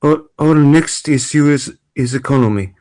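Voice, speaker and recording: A man reading the part of a formal debate moderator in an amateur play table read, deliberate and repeating the odd word. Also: a little high, darkish tone, natural voice. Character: formal, measured male presidential debate moderator.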